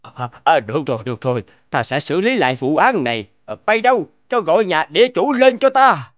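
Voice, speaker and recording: A man's voice: on the high side, very animated, brisk, highs cut off. A man voicing a pompous, corrupt and bossy mandarin judge in a children's fairy-tale cartoon. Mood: grudging. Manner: pompous, commanding.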